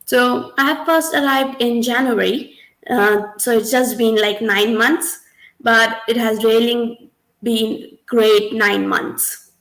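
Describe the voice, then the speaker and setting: Casting note: young woman from India, slow delivery, gravelly edge, echoey room. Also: a little high, fairly even intonation, fairly bright tone. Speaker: master's student on a panel.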